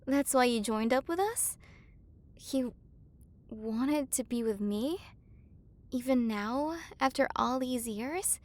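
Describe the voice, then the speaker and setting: A proud warrior queen , acted by a woman, speaks in a fairly high feminine voice. She is haughty yet easily flustered, with a tender side under the ruthless front. The delivery is intimate, romantic ASMR roleplay in a classic tsundere style. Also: slow, long pauses. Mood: tender, softened. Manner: haughty but flustered, softening into intimate murmurs. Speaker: voice actor.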